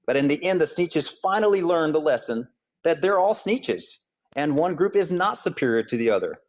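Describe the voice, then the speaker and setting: A man from Hawaii, an author and school counselor, answering interview questions in an earnest, steady way. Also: on the high side, normal speed.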